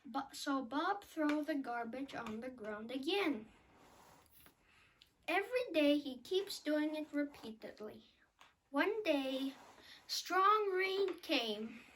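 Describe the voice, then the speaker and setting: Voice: high voice, expressive, slow pace, a bit bright, a little hiss or hum. A child presenting and narrating a scripted story for a children's puppet show.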